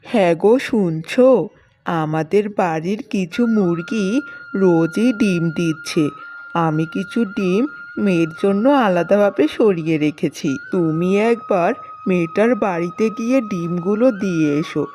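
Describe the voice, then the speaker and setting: A woman's voice: lowish voice, measured pace, mellow, natural voice. A woman voicing an earnest, worried middle-aged village mother in a cartoon story. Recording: music bed under the voice.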